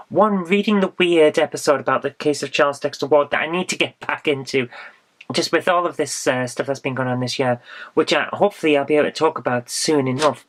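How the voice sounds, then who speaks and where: A woman vlogging from a small home office, her voice stuffy and bunged up from a cold. She talks in a loose, rambling way, circling back with fillers and restarts. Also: a bass voice. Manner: rambling, chatty, with frequent ums and restarts. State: congested, bunged-up voice from illness. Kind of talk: casual vlog chat.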